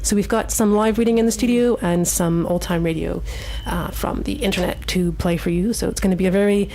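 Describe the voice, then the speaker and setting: A woman's voice: medium pitch, fairly even intonation, brisk, background noise. A woman hosting a live radio show in a casual, slightly hesitant way from a studio.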